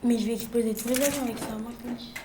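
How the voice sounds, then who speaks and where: A young woman with a cold, chatting playfully through gameplay commentary, jumping from loud exclamations to quiet mumbles. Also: fairly high pitch, steady tone, very fast, reverberant, noisy background. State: has a cold. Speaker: gaming youtuber.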